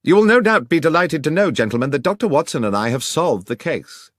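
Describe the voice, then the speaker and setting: Confident male detective , acted by a male reader, audiobook mystery. Declamatory, precise, very lively pitch, dry close recording. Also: fairly quick, no echo. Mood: dramatic. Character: confident, theatrical male detective explaining his deductions.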